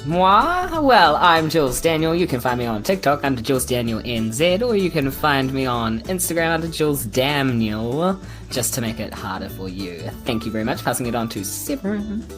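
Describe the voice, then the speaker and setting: A woman's voice: rumbling low, sing-song, normal speed, faint music behind. A woman chatting casually and playfully as a player on a podcast. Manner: playful, jokey. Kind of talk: casual chat.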